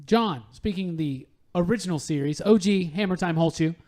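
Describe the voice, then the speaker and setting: A man's voice: high-pitched, fairly quick, a little mellow. A man hosting a podcast in a casual, conversational way. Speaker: podcast host. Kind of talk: casual chat. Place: podcast studio.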